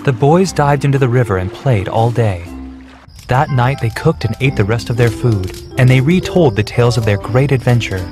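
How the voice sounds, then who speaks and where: A man's voice: mid-range voice, moderate pace. A man reading scripted narration for a children's storybook, with music behind him. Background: background music.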